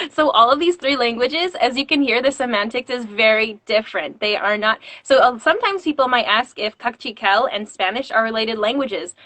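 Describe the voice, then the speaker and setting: High, bright-voiced encouraging ballet teacher. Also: female voice, moderate pace, no echo. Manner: warm, encouraging, laughs often. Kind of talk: live-streamed interview.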